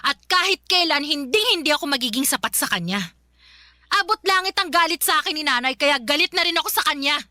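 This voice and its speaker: A woman's voice: high-pitched, expressive, brisk, bright, forceful tone, no echo, clean studio sound, exaggerated voice. A woman playing a worn-out, bitter and defiant daughter in an emotional radio drama, pleading with emphatic repetition. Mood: bitter, hurt. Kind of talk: Filipino radio drama acting.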